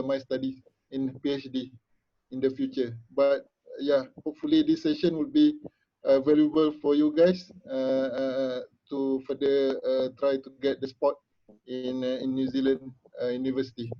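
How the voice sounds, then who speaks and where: A man's voice: mid-range voice, flat delivery, normal speed. A man speaking as a webinar panelist over a video call, hesitant with frequent fillers. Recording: dull video-call audio.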